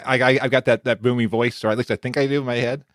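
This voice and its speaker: A man's voice: mid-range voice, fairly even intonation, very fast, no echo. A man hosting a casual podcast, upbeat and often stammering and repeating words, on a studio mic at home. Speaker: podcast host.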